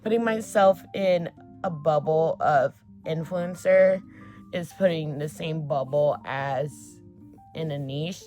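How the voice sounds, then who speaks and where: Low-voiced, slow-talking young woman vlogger. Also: darkish tone. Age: 20s. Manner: chatty, filler-heavy, swears casually. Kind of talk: casual get-to-know-me chat.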